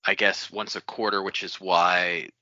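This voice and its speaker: A man's voice: low voice, flat delivery, a little slow, bright, forceful tone, no room sound, muffled recording. A man from the United States chatting casually as a podcast co-host. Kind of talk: casual chat.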